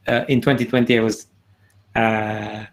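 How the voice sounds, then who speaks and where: A man introducing himself on a video call. He speaks haltingly, with many "uh" and "um" fillers. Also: on the low side, flat delivery. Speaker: conference organizer and video creator. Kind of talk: casual self-introduction. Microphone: computer mic on a video call.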